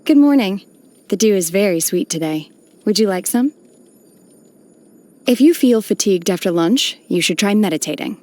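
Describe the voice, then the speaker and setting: A woman voicing an aloof, stoic young female exorcist in a video game, speaking in a calm, measured, formal way. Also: medium pitch, natural voice. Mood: calm, detached. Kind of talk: scripted video game character voice lines.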